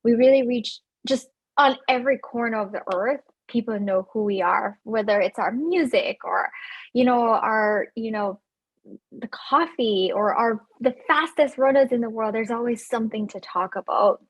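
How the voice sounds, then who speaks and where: A woman's voice: a little high, normal speed, slightly rough. A woman with a Jamaican accent, a physician in her thirties, giving chatty, upbeat advice straight to camera with many fillers and restarts.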